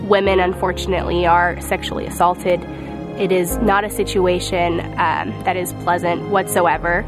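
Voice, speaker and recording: A woman from Venezuela who grew up in Texas, giving an earnest, measured personal testimony. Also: fairly low pitch. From born in Venezuela, raised in Texas, United States. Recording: music playing behind the voice. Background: background music.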